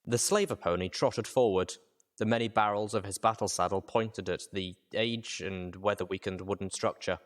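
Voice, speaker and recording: A man with a low voice narrates fiction in the first person. He reads from a script as an audiobook narrator, with a dramatic storytelling delivery. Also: fairly even intonation, moderate pace.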